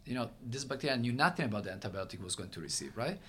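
A man's voice: medium pitch, expressive, rapid-fire, a bit bright, husky. A man from Italy with a thick Italian accent, explaining his research in an interview, with many fillers and restarts. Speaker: researcher and center director. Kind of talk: interview answers. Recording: faint background noise.

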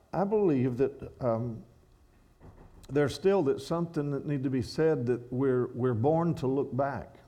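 Kentucky-accented male preacher, warm and dark tone, stumbling restarts, reads a poem in rhythm. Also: medium pitch. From Kentucky, USA. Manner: halting restarts, then rhythmic poetic reading. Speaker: guest speaker. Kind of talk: sermon with a read-out poem. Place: church.

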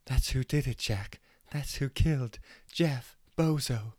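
A man voicing a soft-spoken, self-assured woman detective who talks quietly and knowingly. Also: medium pitch, slow, no room sound, studio quality. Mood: hushed. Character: hushed, self-assured female amateur detective.

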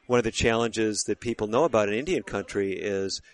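A man's voice: on the low side, fairly quick. A man hosting a radio health show, interviewing a guest in a booth at a conference hall, chatty and given to small restarts. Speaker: radio show host and physician. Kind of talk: conversational interview.

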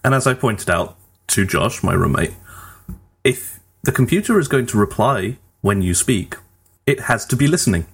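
A man's voice: fairly low pitch, big pitch swings, normal speed, breathy edge. A man chatting casually as a podcast host, with a wry, pedantic way of putting things. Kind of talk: casual gaming podcast chat.

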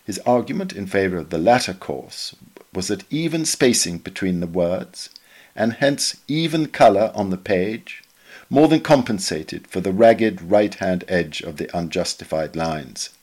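A man reading a written memoir aloud as scripted narration, in a measured, formal manner with precise diction. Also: deep voice, expressive, darkish tone. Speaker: podcast reader.

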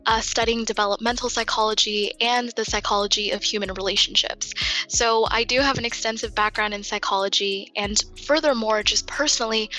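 A woman's voice: fairly high pitch, pushed and bright. A young woman from the United States, a guest on a casual podcast, talking in an earnest, articulate way and laughing easily. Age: young adult.

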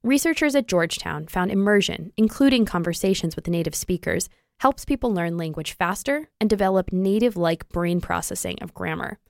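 A woman narrating a news feature in a measured, scripted delivery. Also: on the low side, animated, no room sound, studio quality.

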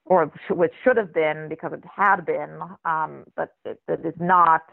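Flat American accent, raised in Israel, gravelly, dry tinny phone line, reflective and searching. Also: mid-range voice, moderate pace, dry recording. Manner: thoughtful, searching, many restarts. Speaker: writer, interview guest. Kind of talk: reflective conversational interview.

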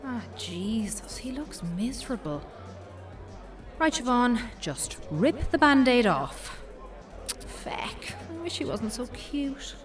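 A woman's voice: fairly high pitch, very animated, takes it slowly, soft background music. An actress playing the mischievous, sweary inner voice of a date-weary Irish woman in an audio drama. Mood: reluctant. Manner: sweary, sardonic.

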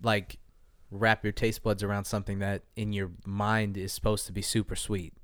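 A man's voice: on the low side, flat delivery, moderate pace, no echo, clean studio sound. A man co-hosting a podcast, chatting casually with friends in blunt, crude banter. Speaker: podcast co-host. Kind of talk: casual chat.

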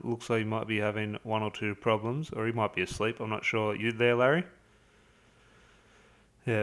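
Male host, low monotone, bright clear voice, loose rambling questions. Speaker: interview host. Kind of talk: online interview.

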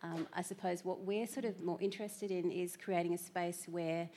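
A woman's voice: fairly low pitch, fairly even intonation, moderate pace, warm tone, a little hiss or hum. Hesitant, reflective woman moderator. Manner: reflective, hesitant, with many fillers. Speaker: panel moderator. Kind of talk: panel discussion.

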